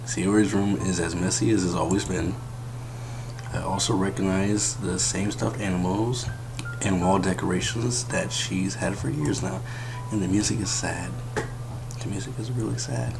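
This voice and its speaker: Young male let's player, low deep voice, hushed late-night delivery, game music clearly behind him. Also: steady tone, normal speed, rough recording. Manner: subdued, keeping quiet late at night. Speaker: gaming channel host. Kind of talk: let's play commentary, reading game text aloud. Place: at home, indoors at night.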